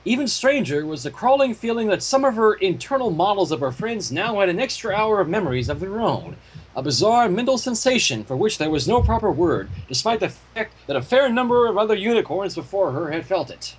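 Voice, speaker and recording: A man with a fairly high voice reads a story aloud as a narrator. His delivery swings up and down in an animated way, and he slips into casual commentary. There is faint noise in the recording. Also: brisk, low-quality recording. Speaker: fan fiction reader and commentator.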